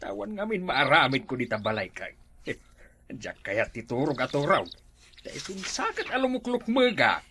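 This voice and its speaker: A man's voice: fairly high pitch, sing-song, moderate pace, sharp tone, a little hiss or hum, heavily acted. A man playing a gossipy, meddling older neighbor in an Ilocano village comedy drama, full of exclamations. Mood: grumbling.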